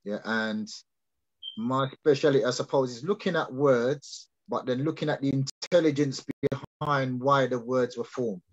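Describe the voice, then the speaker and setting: A man's voice: mid-range voice, measured pace, lo-fi sound. A man explaining his ideas on a video call, hesitant with many restarts and fillers. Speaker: guest speaker.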